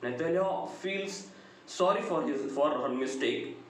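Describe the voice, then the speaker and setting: A middle-aged man with a South Indian accent giving a lecture, retelling a story deliberately with pauses between phrases. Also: on the high side. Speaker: English lecturer. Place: small bright indoor room. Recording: very echoey room, faint background noise.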